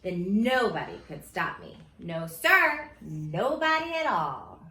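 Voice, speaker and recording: A woman reading a children's storybook aloud in a silly, theatrical, expressive way, like a teacher at story time. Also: on the low side, slow. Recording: roomy echo with faint background noise.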